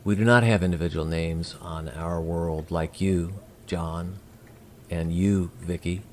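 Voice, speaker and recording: A man playing a courteous, calm alien emissary in an audio drama, speaking formally and with measured politeness. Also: sits low, a little hiss or hum.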